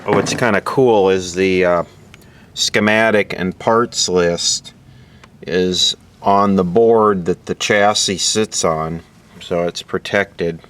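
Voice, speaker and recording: A man narrating a casual, unscripted hands-on repair demonstration in a matter-of-fact, halting way, as host of his own video. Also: sits low, steady tone, a bit bright. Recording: clearly audible background noise.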